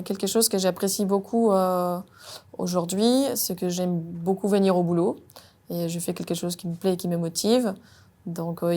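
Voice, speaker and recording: A woman in her thirties from Colombia, speaking French. Her voice sits a little low. She talks through her engineering work in a measured way, often pausing with hesitant fillers. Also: mellow. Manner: measured, frequent "euh" hesitations. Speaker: robotics R&D engineer. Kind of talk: job interview-style monologue.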